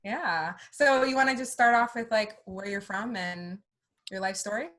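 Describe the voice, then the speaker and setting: Bright-voiced woman hosting a podcast, jokey and easygoing. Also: medium pitch, normal speed. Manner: chatty, joking, laughs easily. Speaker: podcast co-host. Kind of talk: casual podcast interview.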